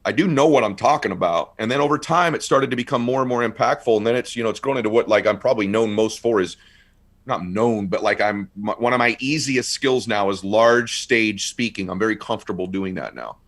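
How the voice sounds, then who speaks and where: A middle-aged man in casual conversation as a guest, telling stories with animated bursts of excitement. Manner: animated, quick storyteller, rising to excited highs. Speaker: guest speaker.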